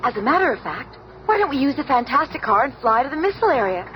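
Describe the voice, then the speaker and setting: An actress playing a polite, game young heroine in a 1970s radio drama. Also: female voice, high voice, very animated, fast, fairly bright tone. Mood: helpful, upbeat. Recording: very noisy old 1970s radio recording.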